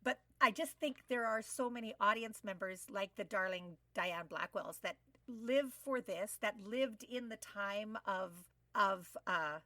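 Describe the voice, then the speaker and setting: A woman's voice: mid-range voice, a little slow, few pauses, sharp tone, no echo. Enthusiastic woman, slightly distant dry mic. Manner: enthusiastic, effusive. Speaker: podcast co-host. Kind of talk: casual conversational interview. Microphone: video call microphone.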